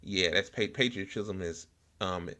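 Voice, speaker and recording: Male American host, low forceful voice, informal halting political commentary. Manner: informal, hesitant, halting delivery with blunt slang. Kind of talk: casual unscripted political commentary.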